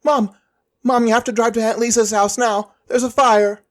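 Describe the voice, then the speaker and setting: A man's voice: very high voice, steady tone, normal speed, pitched above the performer's own voice. A man voicing an urgent, insistent nine-year-old boy prophet in story dialogue. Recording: echoey room, slightly distant from mic.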